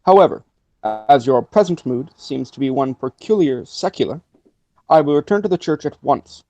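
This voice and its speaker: A man playing a mellow, pompous country clergyman. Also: fairly high pitch, expressive, normal speed, no room sound. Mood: pompous, genteel. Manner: pedantic, formal. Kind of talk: radio play acting. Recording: dry, slightly dull lo-fi audio.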